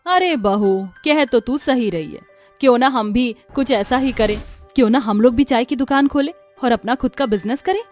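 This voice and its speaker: A woman's voice: a little high, normal speed, a little mellow, faint music behind, close to an everyday voice. Woman voicing an earnest widowed mother-in-law. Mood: resolute. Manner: earnest, pleading, sentimental. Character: widowed older mother-in-law, determined and self-sacrificing. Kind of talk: animated storybook voice acting.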